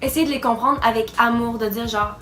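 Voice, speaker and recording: A young woman with a Quebec French accent co-hosting a casual chat, jumping in quickly. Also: on the high side. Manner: quick, lively interjections. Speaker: co-host. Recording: echoey room with faint music.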